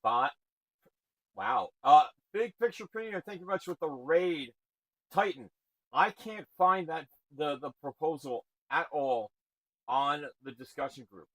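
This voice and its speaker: A man with a booming voice hosting a live stream, energetic and shouting out viewers. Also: measured pace, rough recording. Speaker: stream host.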